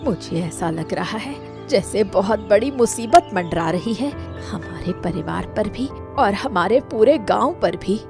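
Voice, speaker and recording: A woman's voice: mid-range voice, animated, unhurried, gravelly, few pauses, music in the background. A woman playing an anxious, sorrowful elderly village mother in a cartoon story, with a plaintive, lamenting manner. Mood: anxious foreboding. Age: older. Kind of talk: Hindi moral cartoon story dialogue.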